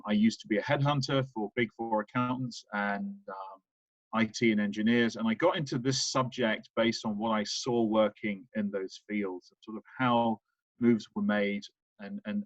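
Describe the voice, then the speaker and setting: A man's voice: on the low side, no room sound. A man from the UK with a British accent, a management professor giving an earnest webinar talk over a video call with frequent restarts. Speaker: guest speaker, management professor. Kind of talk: webinar presentation. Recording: dry, dull lo-fi video call audio.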